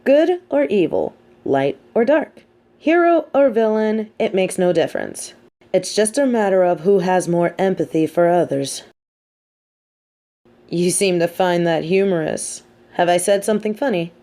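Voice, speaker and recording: A woman playing a sardonic, teasing supervillain with a hidden soft side, talking straight to the listener in an audio roleplay with theatrical, mocking emphasis. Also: on the low side, lively intonation, measured pace. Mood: philosophical, wry. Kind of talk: scripted audio roleplay monologue spoken to the listener.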